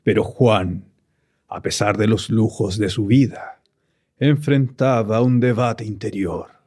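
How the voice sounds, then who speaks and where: A sinister master of horror tales. He speaks very slowly in a low voice, with theatrical courtesy and gleeful menace, as in an old radio play. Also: a little mellow. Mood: ominous. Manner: theatrical, slow, courtly, taunting.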